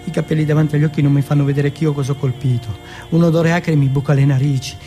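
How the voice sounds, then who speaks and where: A man performing a dramatic first-person monologue in short, halting phrases with weighty pauses. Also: a little high, level tone, dark tone, faint music behind.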